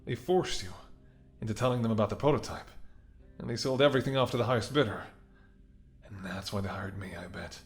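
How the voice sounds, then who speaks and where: A man's voice: on the low side, brisk, long pauses, faint music behind, natural voice. A man playing a menacing, smirking mercenary ex-bodyguard in an audio roleplay, with a taunting, sardonic way of talking. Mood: suspicious, realizing. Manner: taunting, sardonic, swearing, drops to whispers. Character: menacing, smirking male mercenary ex-bodyguard holding someone at gunpoint. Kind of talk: dramatic audio roleplay spoken to the listener.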